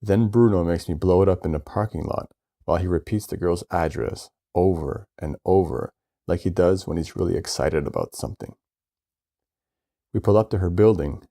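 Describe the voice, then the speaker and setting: Deep, gravelly man reading a story. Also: normal speed, warm tone. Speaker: author reading own short story. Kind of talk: first-person short story reading.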